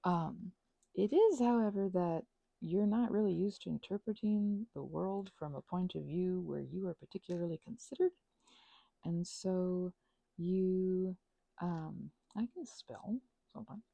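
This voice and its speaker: A woman rambling casually as she thinks aloud over a craft, halting and murmuring to herself, with playful asides to listeners. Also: lowish voice, creaky, mellow, dry recording, highs cut off. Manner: halting, drifts into murmurs and self-talk. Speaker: ASMR and audio creator.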